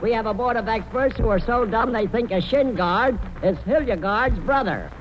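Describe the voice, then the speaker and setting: A man's voice: high voice, moderate pace, highs cut off, background noise. A man hosting a 1940s radio comedy quiz, rattling off gags on an old recording. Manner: echoes answers, rattles off gags. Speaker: comic quizmaster.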